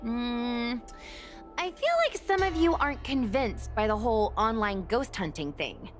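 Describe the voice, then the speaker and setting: A woman's voice: a little high, animated, unhurried, soft background music. A woman voicing a bubbly, enterprising young girl in a video game, chatty and confidently persuasive. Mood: eager, persuasive. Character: bubbly, enterprising young ghost-hunting girl, eager for followers. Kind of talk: animated video game voice acting.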